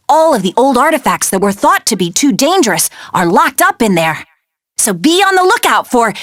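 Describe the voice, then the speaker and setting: A woman's voice: a little high, fairly bright tone, no room sound, studio quality. A woman playing a brave, caring young woman who guides heroes through battle in a video game, urging and encouraging them as she gives directions. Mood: cautious, serious. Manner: urgent, encouraging mission directions. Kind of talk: video game character voice lines.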